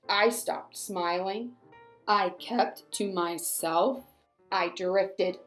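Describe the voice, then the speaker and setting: Slow, dramatic little bad seed played by a woman. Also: mid-range voice, a little mellow. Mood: brooding. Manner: theatrical, drawn-out delivery. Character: small reformed bad seed, male, dramatic and rueful. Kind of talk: expressive children's picture-book read-aloud.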